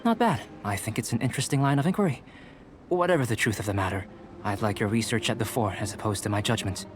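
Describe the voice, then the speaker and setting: A man playing a composed young alchemist in a video game, speaking in a measured, formal, analytical manner. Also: on the low side, expressive, faint music behind, close to an everyday voice. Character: calm, courteous young male alchemist and researcher. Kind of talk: video game character dialogue.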